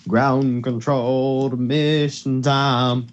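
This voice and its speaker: A man's voice: medium pitch, flat delivery, drawn-out words, muffled recording. A man reading fan fiction informally to a co-host over a voice call, stumbling over words and restarting. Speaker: story reader and co-host. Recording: noisy home voice call recording.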